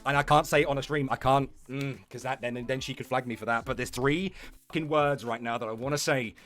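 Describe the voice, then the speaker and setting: A man's voice: mid-range voice, steady tone, fairly quick, fairly bright tone. A man hosting a livestream with mocking reaction commentary, foul-mouthed, exasperated and sarcastic. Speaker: livestream host.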